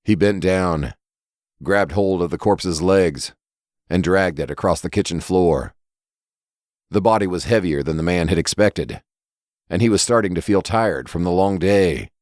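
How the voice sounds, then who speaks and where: A man's voice: sits low, a little slow, darkish tone, no echo, studio quality. A man reading a scripted horror story as its narrator.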